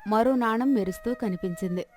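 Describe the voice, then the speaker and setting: A woman's voice: a little high, brisk, a little mellow, soft background music. A woman reading scripted narration for a moral story as its storyteller.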